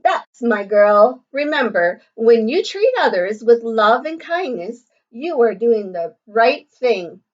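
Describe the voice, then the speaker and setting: Woman reading as slow, reassuring storybook grandpa. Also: on the high side, sing-song, lo-fi sound. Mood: warm approval. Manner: gentle, measured, morally instructive. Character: kindly, reassuring grandfather in a picture book.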